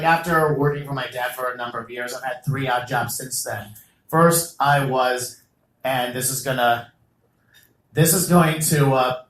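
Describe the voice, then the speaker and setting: A young man doing a stand-up comedy set as the host of an open mic, animated and jokey, with loud bursts on the punchlines. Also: mid-range voice, echoey room, low-quality recording. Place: small comedy venue.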